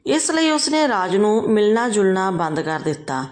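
A woman's voice: medium pitch, sing-song, normal speed. Woman narrating a story.

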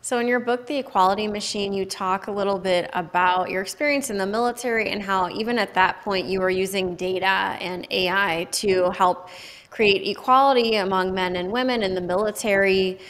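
A woman's voice: medium pitch, steady tone, normal speed, a bit bright, big-room sound. A middle-aged woman hosting a conversational interview over a video call, speaking into a microphone indoors. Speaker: interview host. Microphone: microphone on boom arm, close.

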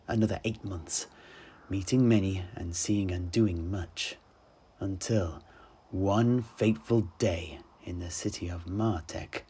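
Deep, slow-speaking male fantasy storyteller. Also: husky. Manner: theatrical, deliberate, long pauses. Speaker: storyteller, host. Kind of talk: dramatic first-person fantasy narration in character.

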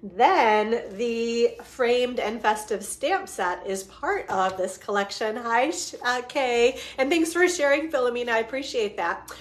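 A woman in her forties, a bit hoarse from a cold, chattily demonstrating craft supplies on a home live stream and greeting viewers by name. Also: on the high side, a little slow. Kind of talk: casual live-stream demo. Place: living room at home.

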